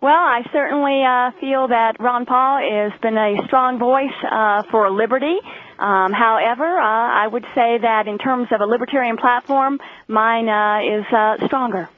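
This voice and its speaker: Hoarse woman on a tinny phone line. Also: on the high side, fairly even intonation, measured pace, no room sound. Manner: earnest, many uh fillers. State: hoarse from a cough. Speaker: political candidate guest. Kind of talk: phone-in radio interview.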